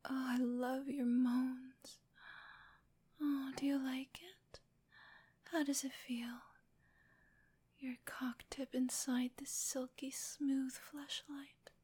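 Whispering woman, breathy, slow and high. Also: little pitch movement, dark tone. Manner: slow, soothing, coaxing, affectionate. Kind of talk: intimate whispered ASMR erotic roleplay.

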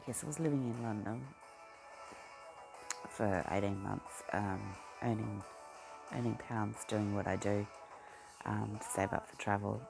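A man with a broad Australian accent rambles through a casual vlog chat and keeps losing his thread, filling gaps with ums. His voice has a gravelly, creaky edge and an unhurried pace. A song plays clearly behind him. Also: on the low side, wildly swooping pitch, sharp tone, soft background music, rough recording. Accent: Australian, broadened by life in Queensland. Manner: meandering, easily sidetracked, many ums and restarts. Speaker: vlogger. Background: a pop song playing.

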